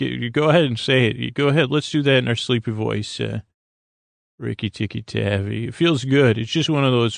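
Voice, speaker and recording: A man with a creaky, medium-pitched voice hosts a bedtime podcast. He wanders whimsically from thought to thought, hesitating and dropping sentences halfway. The sound is very dry, with no room around it. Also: no echo. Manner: meandering, hesitant, halting, trailing off mid-sentence. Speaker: host of a sleep podcast. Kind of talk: rambling whimsical bedtime monologue.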